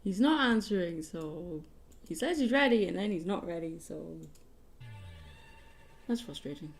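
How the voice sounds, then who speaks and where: A woman's voice: lowish voice, expressive, measured pace, creaky. A woman hosting an art livestream, talking casually and informally as she works. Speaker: livestream host, commission artist. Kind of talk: casual livestream chat while drawing. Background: music.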